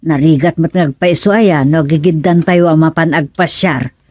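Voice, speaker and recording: A woman's voice: low-pitched, moderate pace, a little mellow, no room sound. A woman playing a nagging, teasing village wife in a radio comedy drama, drawling and chiding with an Ilocano accent. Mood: curious, chatty.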